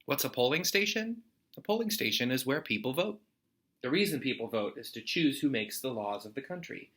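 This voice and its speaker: A man's voice: mid-range voice, animated, brisk, fairly bright tone. An American man hosting a storytime, reading a children's picture book aloud expressively to students.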